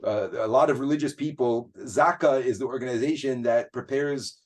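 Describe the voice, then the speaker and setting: Earnest, halting man in conversation. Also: medium pitch, a little mellow. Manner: hesitant, many restarts and fillers, earnest. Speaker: guest. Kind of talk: casual conversational interview.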